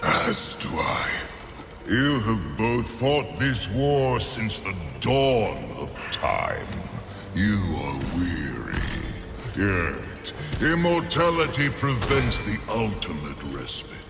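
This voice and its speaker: An ancient lord of the underworld for a fantasy game. The voice is gravelly and sweeps widely in pitch, grand and full of disdain. Long cathedral-like reverb surrounds it. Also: male voice, big pitch swings, over music, exaggerated voice. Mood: solemn, commanding. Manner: grandiose, measured, contemptuous. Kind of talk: theatrical fantasy game cutscene acting.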